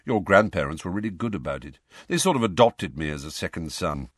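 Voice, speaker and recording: A man's voice: low voice, animated, fairly quick, dry recording, clean studio sound. A man voicing a brusque, bitter master of the house in an audiobook, curt and scornful. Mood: casual, wry. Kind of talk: audiobook character voice.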